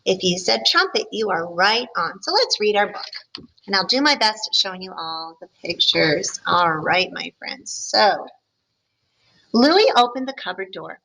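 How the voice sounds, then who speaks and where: A woman teacher reading a picture book aloud to her students, pausing to explain and ask them questions. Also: mid-range voice, expressive, gravelly, sharp tone, low-quality recording. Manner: friendly, explaining to children between passages.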